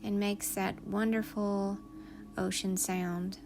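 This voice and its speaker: Woman doing guided meditation, slow n soothing, lots of pauses, soft music behind her. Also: mid-range voice, little pitch movement. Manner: soothing, unhurried instruction with long pauses.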